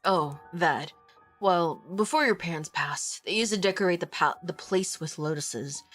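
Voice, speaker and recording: A woman voicing a gentle, devoted young man who is the love interest in a romance game, speaking softly and tenderly. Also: lowish voice, a little slow, soft background music, voice pushed down.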